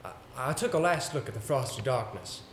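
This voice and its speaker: An actor playing a pompous, exasperated theatre coach in an amateur stage play, with a sarcastic, emphatic delivery. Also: male voice, mid-range voice, sing-song, brisk, natural voice. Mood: measured storytelling. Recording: roomy stage recording with faint noise.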